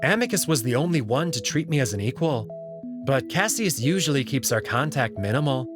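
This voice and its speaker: A man voicing a polite, discreet young alien servant with a courteous, measured and gently candid manner, in a visual novel. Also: mid-range voice, sing-song, over music, natural voice. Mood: sly, reassuring. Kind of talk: visual novel character read-through.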